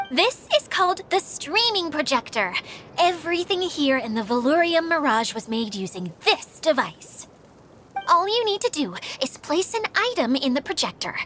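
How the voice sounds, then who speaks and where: A woman's voice: high-pitched, a bit bright, slight background noise. A woman voicing a theatrical, easily deflated young female guide in a fantasy video game, showy and hesitant when flustered. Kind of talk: video game character acting.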